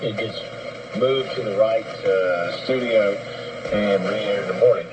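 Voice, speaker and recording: A man hosting a casual radio station talk show, speaking in a measured, halting way. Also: on the low side. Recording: noisy shortwave radio broadcast.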